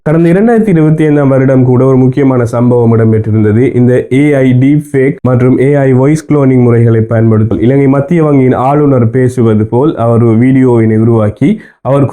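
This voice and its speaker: Very dark-voiced man, Sri Lankan Tamil accent. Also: medium pitch. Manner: formal, methodical explaining. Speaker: presenter of an explainer report. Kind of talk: scripted news explainer narration.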